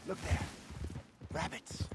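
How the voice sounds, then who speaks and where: An actor playing a patient older American outlaw mentor in a western video game, measured and gently chiding. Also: male voice, very noisy, lightly acted. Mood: instructive, wry. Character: wise, patient old male outlaw mentor. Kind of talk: cinematic western game acting.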